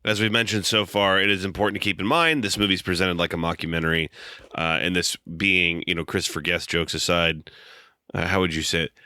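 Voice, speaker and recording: A man co-hosting a casual film review podcast, dry and measured with wry humor. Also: deep voice, flat delivery, pushed and bright, clean tone, dry recording. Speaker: podcast co-host.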